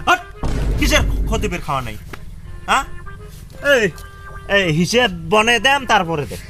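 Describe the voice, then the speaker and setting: A man playing a brash, boastful village election supporter, arguing in a northern Bengali dialect with loud rhetorical questions. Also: high voice, big pitch swings, normal speed, faint music behind, exaggerated voice. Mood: scolding. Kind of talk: comedy skit acting in village dialect.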